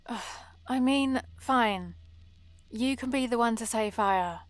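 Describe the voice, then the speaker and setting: A woman's voice: a little high, takes it slowly, no room sound, faint music behind. A woman playing a prim, bossy and exasperated vampire librarian in a scripted ASMR roleplay, scolding in a fussy, theatrical way. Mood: urgent, exasperated.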